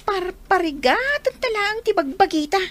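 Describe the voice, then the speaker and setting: A woman's voice: very high-pitched, expressive, normal speed, fairly bright tone, dry recording. A woman playing a lazy, whiny teenage college girl in an Ilocano radio drama, with an Ilocano accent. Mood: whining.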